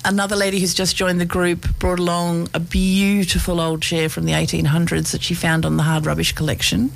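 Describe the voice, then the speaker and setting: Gravelly Australian woman, enthusiastic. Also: fairly low pitch, level tone, a little fast, low-quality recording. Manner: enthusiastic, warm talker. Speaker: education coordinator guest. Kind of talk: casual interview chat.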